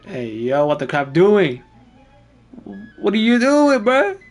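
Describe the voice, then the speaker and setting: A woman's voice: mid-range voice, big pitch swings, slow pace, darkish tone. A woman giving casual, slangy, sweary reaction commentary while playing a game. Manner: slangy, sweary, muttering asides. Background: game music.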